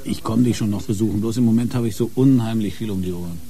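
A man's voice: fairly low pitch, fast talker, dark tone, lightly acted. An actor playing a harried divorced police inspector and fond father in a radio play, speaking in an offhand, colloquial way. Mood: casual.

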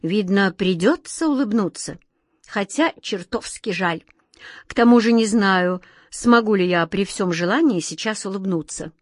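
A woman's voice: medium pitch, measured pace, dry recording, clean studio sound, lightly acted. A woman voicing an anguished, kind-hearted male wizard, dismayed and despairing, in a storybook reading.

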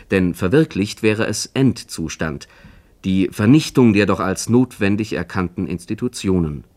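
A man narrating a scripted literary essay in a formal, measured manner. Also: low-pitched, darkish tone. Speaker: narrator, commentator.

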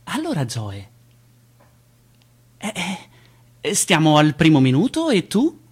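An actress playing a patient, coaxing guide in a theatrical radio play, breathy and repeating her phrases. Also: sits low, huge pitch swings, slow, darkish tone, full character voice. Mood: encouraging, searching. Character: patient guiding voice coaxing a newborn through imagining her life.

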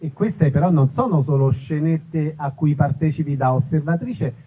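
A man's voice: on the high side, moderate pace, warm tone, a little hiss or hum. A man hosting an informal live interview, asking questions in a conversational way. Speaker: interviewer, event host. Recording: thin phone-like live event sound.

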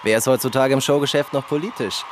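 A man's voice: medium pitch, fast, noisy background. A man speaking as an interviewer and announcer, putting pointed questions and brief announcements.

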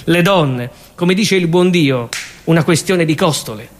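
A man's voice: a little high, measured pace, slight background noise. A man with a Roman accent performing a theatrical comic monologue, colloquial and full of insistently repeated phrases. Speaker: solo comic stage performer.

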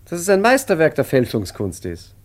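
A man playing a suave, self-assured forger in a radio play, smooth-talking and theatrical as he narrates and schemes. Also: on the high side, wildly swooping pitch, moderate pace. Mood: piqued. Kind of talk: radio play acting with first-person narration.

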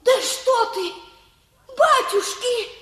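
A woman's voice: very high-pitched, lively intonation, slow pace, bright, forceful tone, echoey room, heavily acted. An actress playing a flustered, homely old woman who is easily alarmed, in a radio play.

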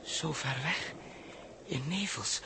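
Tormented spaceman, high voice, slow n halting, desperate, noisy old radio play. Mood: frantic. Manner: halting, repeating words, pleading. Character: anguished injured young spaceman, guilt-ridden and desperate. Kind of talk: 1960s Dutch radio drama acting. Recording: noisy vintage 1960s radio recording.

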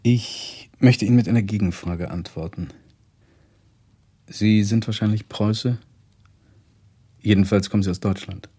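Deep, husky, blunt professor. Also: male voice, steady tone, warm tone. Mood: brusque, probing. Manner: deliberate, blunt, dryly reproachful. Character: blunt, proud Swiss professor, a German émigré. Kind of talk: 1970s German radio play acting.

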